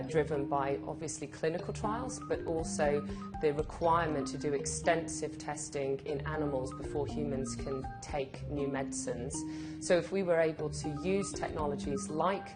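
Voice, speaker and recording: A woman giving a measured, slightly hesitant technical explanation as a company representative. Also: low-pitched. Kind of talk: explanatory interview talk. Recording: music playing behind the voice. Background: prominent background music.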